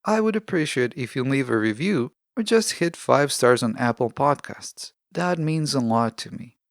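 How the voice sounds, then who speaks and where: A man with a gravelly, creaky edge and a medium-pitched voice speaks as a podcast host. He delivers a scripted, reflective monologue in earnest, deliberate phrases. The sound is close to the microphone and very dry. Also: lively intonation, dry recording.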